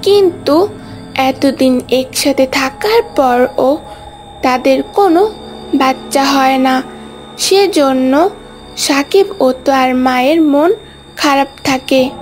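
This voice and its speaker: Casting: woman narrator for cartoon tales, high voice, slow delivery, light music bed. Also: darkish tone. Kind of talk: scripted cartoon story narration.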